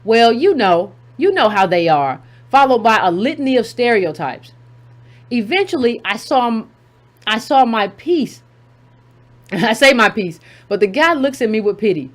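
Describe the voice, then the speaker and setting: A woman's voice: on the high side, very animated, a little slow. A woman hosting a commentary channel, reading a text aloud and breaking in with emphatic asides, repeating key phrases for effect.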